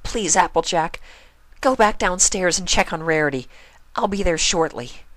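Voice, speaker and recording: Man putting on a high-pitched, excitable girly cartoon pony. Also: normal speed, no echo. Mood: upset, firm. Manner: excitable, chatty, emphatic. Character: hyperactive, kind, protective female pink cartoon pony.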